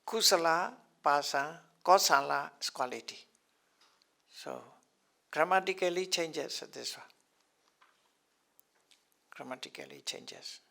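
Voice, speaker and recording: A slightly high, gravelly older man who speaks English as a second language, teaching a class. He talks very slowly, stops and repeats each term, and chuckles often. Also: pushed and bright. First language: not English. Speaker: Buddhist teacher. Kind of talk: explaining scriptural terms to a class.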